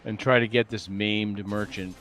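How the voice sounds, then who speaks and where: A man's voice: lowish voice, fairly even intonation, normal speed, fairly bright tone, soft background music. A man playing a tabletop game, casually saying what his character does with wry asides.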